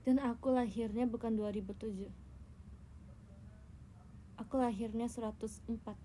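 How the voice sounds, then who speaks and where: A woman's voice: a little high, fairly even intonation, moderate pace, mellow, slight background noise. A young Indonesian woman chatting casually and teasingly with her viewers on a phone livestream. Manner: playful, teasing, tells tall tales. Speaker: idol livestreamer.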